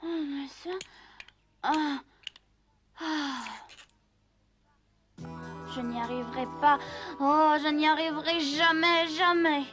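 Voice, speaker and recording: A woman voicing an earnest, excitable little wooden puppet boy in a children's cartoon, whiny and dramatic. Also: high voice, slow, long pauses, music in the background. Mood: despairing. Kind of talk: French-dubbed children's cartoon acting.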